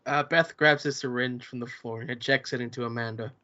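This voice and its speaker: Some guy reading stage directions flat and plain, dull lo-fi sound. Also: mid-range voice, a little fast. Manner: plain, even reading with small stumbles. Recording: muffled lo-fi recording.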